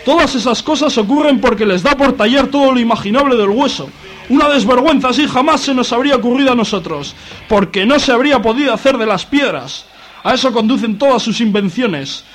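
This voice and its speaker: Storybook role: man doing prehistoric grandad, elderly, indignant, exclaiming in a very high register. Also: expressive, brisk, a bit bright, slight background noise. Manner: exclaiming, scolding. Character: indignant old prehistoric grandfather railing against youth.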